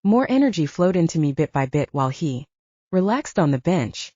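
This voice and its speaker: A woman's voice: low-pitched, big pitch swings, moderate pace, warm tone, no echo, lo-fi sound. A woman reading first-person fiction as an audiobook narrator.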